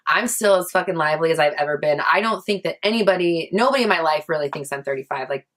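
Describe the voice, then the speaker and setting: A woman in her thirties speaks straight to her viewers in a loose, confessional vlog. Her voice sits low for a woman, and she talks in a chatty, candid way, full of likes and restarts, with casual swearing. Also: fast talker, a bit bright. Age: 30s. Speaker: vlogger.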